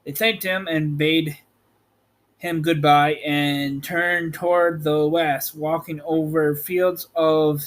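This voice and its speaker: Man, storybook narration, slow pace, somewhat high pitch, halting read-aloud delivery. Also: flat delivery. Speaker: host reading an audiobook chapter.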